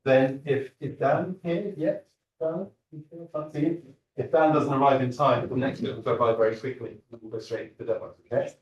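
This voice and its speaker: A man's voice: medium pitch, steady tone, normal speed, lo-fi sound. A man hosting a startup demo night, talking to a live audience in an upbeat, joking way from a stage. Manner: upbeat, joking, addresses the crowd. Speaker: event host. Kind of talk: event talk to a live audience. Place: large lecture hall stage. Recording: roomy hall from a distance.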